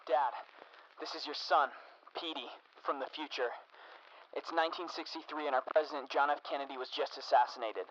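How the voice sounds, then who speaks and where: A man's voice: fairly high pitch, fairly even intonation, a little fast, a bit bright, dull audio, slight background noise, natural voice. A man playing an urgent, pleading son sending a recorded message from the future in a radio drama, speaking earnestly.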